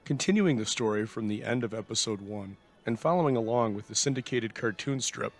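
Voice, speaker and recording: A man's voice: on the low side, animated, a little fast. A man giving a formal, scripted introduction as the host of a show. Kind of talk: scripted narration.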